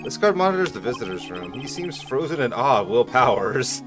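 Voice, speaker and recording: A man's voice: medium pitch, sing-song, fairly quick, over music, close to an everyday voice. A man voicing a young defense lawyer who makes dry, sarcastic asides. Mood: wry.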